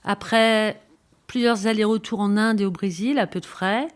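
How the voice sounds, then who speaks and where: A woman presents a bicycle radio project in a lively, scripted way, running through lists with a brisk rhythm. Her voice sits in a middle pitch range.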